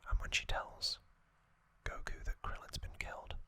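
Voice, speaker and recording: A man hosting an ASMR recap in a breathy whisper, musing casually and giving his own opinions as he goes. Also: normal speed, sharp tone, dry recording. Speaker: ASMR video host.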